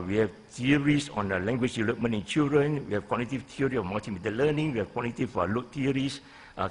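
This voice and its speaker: A husky-voiced older Malaysian man speaks as a professor presenting research at a conference lectern. His mid-pitched delivery is deliberate and halting, with weight on the key phrases. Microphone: gooseneck lectern microphone.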